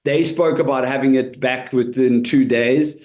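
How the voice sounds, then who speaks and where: A man's voice: mid-range voice, steady tone, unhurried, darkish tone. A man guesting on an interview as a comedian, telling his story in a chatty way, laughing easily and restarting his sentences often.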